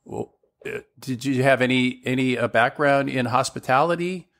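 A male podcast host with a mid-range voice speaks in a conversational way. He asks questions haltingly and gives his guest steady encouraging affirmations. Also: mid-range voice, creaky. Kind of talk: conversational interview.

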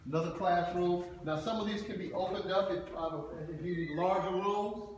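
A man's voice: sits high, steady tone, moderate pace, a loud crowd. A man casually leading a tour of an empty building, pointing things out in short phrases. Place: empty office building with hallways. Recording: echoey hallway from far off, noisy.

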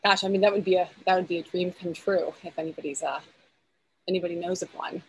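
A woman in her forties talks eagerly on a video call, her voice sitting a little low. She restarts her sentences often as her thoughts run ahead. Faint outdoor ambience hums behind her. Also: fairly even intonation, fast talker, a little mellow, a little hiss or hum, rough recording. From United States. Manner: enthusiastic, many restarts and fillers, talks with her hands. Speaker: film editor, guest. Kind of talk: casual interview over video call. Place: outdoors in a backyard.

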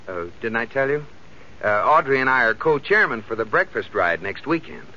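Animated, exasperated husband. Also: male voice, mid-range voice, normal speed. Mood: flustered. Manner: flustered, protesting. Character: exasperated, good-natured husband George. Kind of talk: 1940s radio sitcom acting. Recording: muffled, noisy old radio broadcast recording.

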